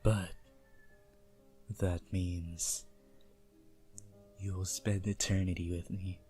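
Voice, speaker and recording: A man playing a possessive, seductive vampire prince in a teasing, intimate ASMR roleplay with quiet menace. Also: deep voice, little pitch movement, slow, faint music behind.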